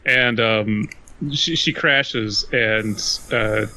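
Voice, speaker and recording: A man co-hosting a podcast, casually recounting anecdotes in a halting way with repeated words. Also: lowish voice, pushed and bright, rough recording. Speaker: podcast co-host.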